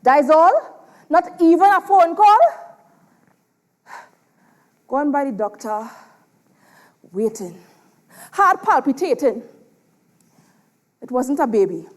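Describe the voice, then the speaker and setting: A Trinidadian woman delivers spoken word poetry with a theatrical, emphatic style. Her voice sits very high and moves widely in pitch. She takes her time, leaning on dramatic pauses. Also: big pitch swings. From Trinidad and Tobago. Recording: live stage performance.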